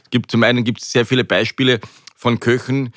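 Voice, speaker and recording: A man's voice: mid-range voice, flat delivery, brisk, a bit bright, no echo, studio quality. A middle-aged man with an Austrian accent talking conversationally as an interview guest, often hesitating and repeating words as he searches for phrasing. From Carinthia, Austria.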